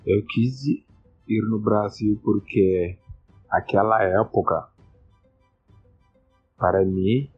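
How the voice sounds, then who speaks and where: A Senegalese man in his twenties speaks in a very low, flat and husky voice. His Portuguese is slow and simple, with the small slips of a learner. The recording sounds a little dull, with faint music underneath. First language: not Portuguese. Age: 20s. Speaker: interviewee. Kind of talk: recorded personal testimony.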